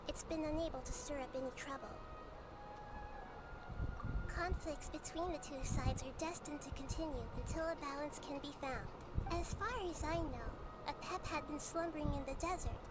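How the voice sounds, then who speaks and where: A wise young goddess from a game calmly explains old lore, as a woman voices her. Her voice sits high and measured, and the storytelling stays steady. Heavy noise runs underneath. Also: natural voice. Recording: game audio with loud background noise.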